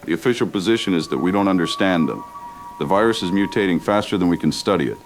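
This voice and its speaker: An actor playing a grave, defeated male health official, measured and grim in a radio drama interview. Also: low-pitched, lightly acted. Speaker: official spokesman. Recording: faint background noise.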